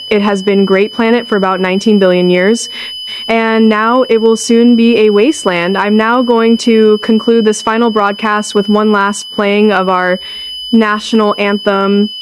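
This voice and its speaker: A woman playing a solemn government announcer, reading a scripted doomsday emergency broadcast in a formal, measured way. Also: medium pitch, little pitch movement, background noise, lightly acted. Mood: solemn, grave.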